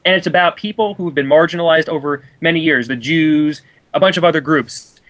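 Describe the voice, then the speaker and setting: A man's voice: fairly high pitch, fairly quick, fairly bright tone, dull audio. A man giving casual film commentary as a co-commentator, argumentative and rambling in manner.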